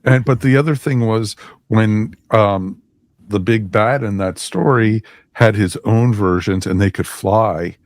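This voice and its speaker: A man's voice: on the low side, measured pace, no room sound. A man talking casually as a panelist in a podcast review discussion. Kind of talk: casual chat reviewing audio dramas.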